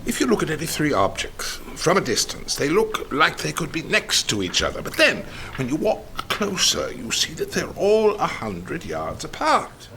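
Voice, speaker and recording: An actor playing an excitable, philosophical detective in a radio drama, musing in a theatrical way. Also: male voice, a little high, normal speed, creaky, hardly any pauses, slight background noise, exaggerated voice. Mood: bewildered musing. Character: excitable, philosophical male detective fixated on strange ideas.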